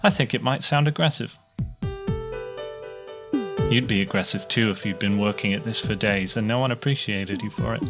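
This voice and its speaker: A man's voice: lowish voice, normal speed, pauses often, close to an everyday voice. A young man acting as a bitter, overworked student technician in a sci-fi audio drama, griping sarcastically through a monologue. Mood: bitter, frustrated. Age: young adult. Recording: music behind the voice.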